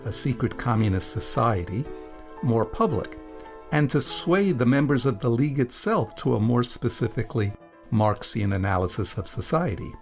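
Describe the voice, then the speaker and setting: A man presenting and reading scripted narration on radio in a measured, explanatory manner. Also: medium pitch, expressive, soft background music.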